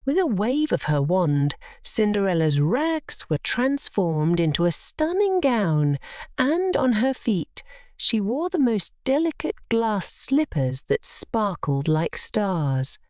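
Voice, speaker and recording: A woman narrating a scripted children's bedtime story in an expressive, measured storytelling manner. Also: mid-range voice, no echo, studio quality.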